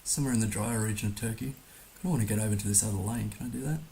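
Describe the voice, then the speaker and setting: A hoarse man musing hesitantly aloud as he gives casual live commentary on a game he is streaming. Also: lowish voice, very fast, dark tone. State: hoarse, recovering from being under the weather. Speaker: livestream host playing a geography guessing game. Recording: noticeable background hiss.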